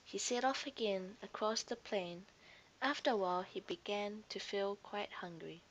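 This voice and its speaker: A woman's voice: medium pitch, normal speed, dull audio, a little hiss or hum. A woman narrating a scripted children's story expressively, as a storyteller to young listeners.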